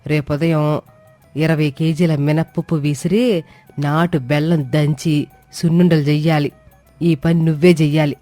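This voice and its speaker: A woman's voice: low-pitched, little pitch movement, moderate pace, long pauses, mellow, dry recording, close to an everyday voice. Woman acting a bossy, scolding village mother-in-law, music behind. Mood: stern, commanding. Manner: scolding, sarcastic, rhetorical questions. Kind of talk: acted dialogue in an animated moral story.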